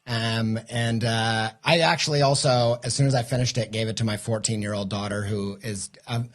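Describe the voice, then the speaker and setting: A man's voice: fairly low pitch, fairly even intonation, normal speed. A middle-aged man hosting a creative podcast, talking eagerly with loose, rambling restarts. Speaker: podcast host. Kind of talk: podcast intro and interview.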